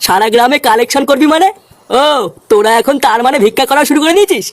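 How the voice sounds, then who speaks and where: A performer with a pitched-up voice playing an abusive, suspicious village uncle in a comedy cartoon. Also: quick pace. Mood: irritated scolding. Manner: scolding, swearing, dismissive. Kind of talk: Bengali comedy cartoon dubbing.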